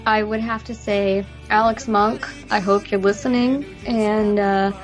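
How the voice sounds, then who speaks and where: A woman's voice: mid-range voice, little pitch movement, a little slow, poor audio. Female podcast co-host over faint music. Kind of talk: casual chat.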